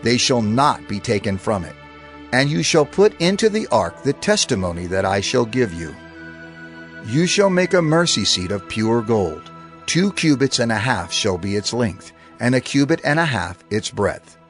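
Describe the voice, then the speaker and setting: Solemn man narrating scripture with lively pitch. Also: normal speed, faint music behind.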